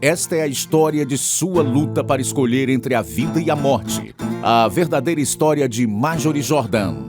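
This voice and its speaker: A man's voice: medium pitch, moderate pace, over music. A man with a Brazilian accent giving scripted, solemn dramatic narration as a radio programme announcer.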